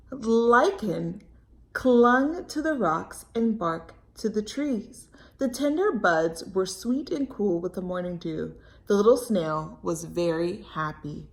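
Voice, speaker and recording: A woman reading a picture book aloud to children expressively, stretching words for emphasis and speaking to young listeners directly. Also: medium pitch. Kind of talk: expressive read-aloud with chatty asides and questions.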